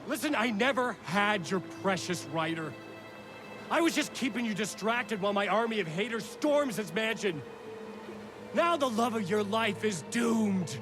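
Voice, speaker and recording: A man's voice: high voice, animated, moderate pace, music in the background. A man playing a cocky, taunting evil twin in a video game, with a theatrical, mocking delivery. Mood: gloating. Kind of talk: hammy game villain acting.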